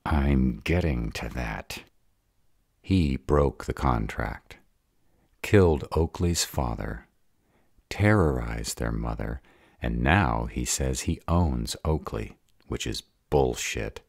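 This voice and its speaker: Guy doing a grumpy dragon man in an audio roleplay, super raspy, slow but swings around a lot, short temper. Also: very deep voice, expressive, darkish tone, dry recording, clean studio sound, heavily acted. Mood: impatient. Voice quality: gravelly, raspy. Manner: growling, impatient, blunt. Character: gruff, hot-tempered male were-dragon, fiercely protective of his mate. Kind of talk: audio roleplay drama acting.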